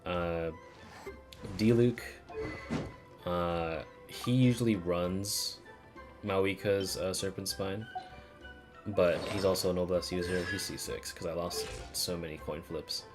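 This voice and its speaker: A man's voice: sits low, fairly even intonation, slow pace, pauses often. A man giving casual, rambling game stream commentary in an informal, sweary way. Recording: music playing behind the voice. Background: game music.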